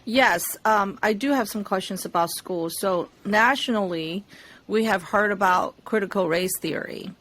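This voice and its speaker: Measured woman asking questions. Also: mid-range voice, fairly bright tone, poor audio. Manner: measured, with frequent um fillers. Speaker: interviewer. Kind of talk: interview questions.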